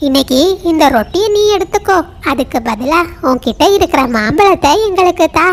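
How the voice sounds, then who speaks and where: A woman's voice: high voice, expressive, a little fast. A woman voicing a small, talkative female bird in a children's cartoon. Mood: chatty.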